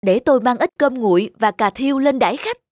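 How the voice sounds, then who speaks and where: An actor voicing a stingy, wealthy man who feigns hardship, in an animated morality tale. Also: medium pitch, quick pace, dry recording, close to an everyday voice. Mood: scheming. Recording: background music throughout.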